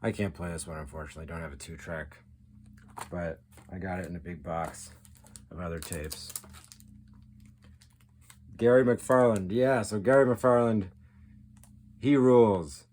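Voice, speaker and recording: A man's voice: low voice, unhurried, long pauses, a little mellow, slight background noise. A man giving a casual, off-the-cuff show-and-tell as a collector, rambling along with geeky enthusiasm. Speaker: record collector, video host.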